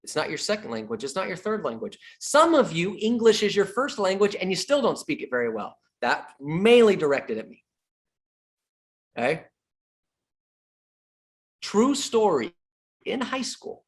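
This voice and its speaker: A middle-aged man giving a sales training talk as a real estate coach, emphatic, repeating his key points and joking with the audience. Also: high-pitched.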